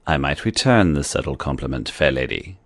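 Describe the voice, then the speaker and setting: A man reading an audiobook, voicing a shrewd, mocking middle-aged Frenchman who speaks quietly and slowly with dry gallantry. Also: extremely low pitch, lively intonation, no echo, pitched below the performer's own voice, heavily acted. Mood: sly, gallant. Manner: quiet, slow, dryly gallant.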